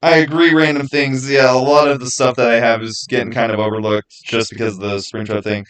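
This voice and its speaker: A man with a mid-pitched voice hosts a casual livestream from a small home studio. He talks to his viewers in a loose, rambling way, often doubling back to repeat words for emphasis. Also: little pitch movement, brisk, fairly bright tone, clear, resonant tone. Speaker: YouTube creator and livestream host.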